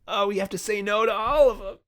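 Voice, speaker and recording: A man's voice: high-pitched, fairly quick, fairly bright tone. A man hosting a podcast in casual chat, swearing freely and joking at his own expense. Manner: profane, joking and self-deprecating. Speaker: podcast host and comedy writer.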